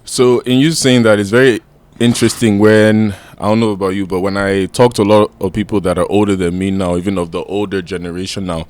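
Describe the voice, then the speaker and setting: A man's voice: on the low side, flat delivery, fairly quick, darkish tone. A young adult Nigerian man with a Nigerian accent, hosting a podcast in casual, rambling chat. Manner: chatty, rambling. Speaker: podcast host.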